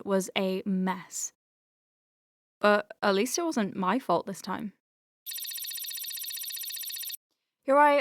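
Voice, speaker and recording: An actress playing an earnest, awkward young clinic doctor in an audio drama, speaking hesitantly and naturally with self-corrections. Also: female voice, mid-range voice, no echo, lightly acted. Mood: hesitant, amused. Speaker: doctor dictating patient letters.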